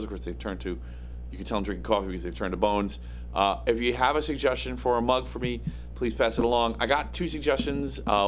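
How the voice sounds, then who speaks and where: A man hosting a casual show from his home studio, with a gravelly, raspy, slightly nasal voice, rambling with plenty of fillers and self-deprecating asides. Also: medium pitch. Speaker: host. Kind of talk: casual livestream talk. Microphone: studio microphone. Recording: faint hum in the electronics. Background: faint electrical hum.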